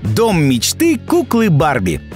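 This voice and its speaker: A man's voice: a little high, huge pitch swings, slow, darkish tone. A man reading scripted narration for a video as its narrator. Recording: background music under the voice. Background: music.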